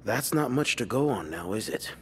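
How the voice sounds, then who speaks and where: An actor playing a skeptical, middle-aged police detective in a mystery game, questioning in a probing, condescending manner. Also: male voice, mid-range voice, expressive, normal speed, close to an everyday voice. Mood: pressing, doubtful. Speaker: video game character. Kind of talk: narrative mystery game voice acting. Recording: long room reverb, faint noise.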